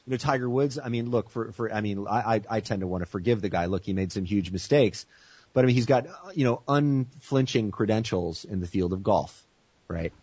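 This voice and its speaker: An American man as a guest on a teleconference interview, speaking with enthusiastic sales-pitch energy and frequent "you know". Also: a little fast, a little mellow, slightly rough, no room sound, highs cut off.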